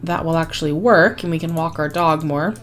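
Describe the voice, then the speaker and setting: Hoarse woman chatting through a game. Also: low voice, brisk. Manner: chatty, rambling with frequent ums and small laughs. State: hoarse, losing her voice. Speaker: gaming channel host. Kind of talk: casual gameplay commentary.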